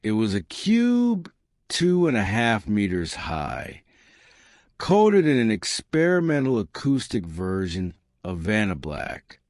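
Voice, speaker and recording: A man narrating a scripted dramatic story in a solemn, deliberate manner. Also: fairly low pitch, huge pitch swings, darkish tone, no echo, clean studio sound.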